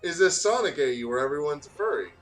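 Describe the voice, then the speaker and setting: A man reads fan fiction aloud with a lot of swing in his pitch. He is casual and jokey, breaking into asides and stumbling over lines. Faint music plays behind him. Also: quick pace. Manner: animated, stumbles over words while reading. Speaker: let's play co-host reading fan fiction aloud.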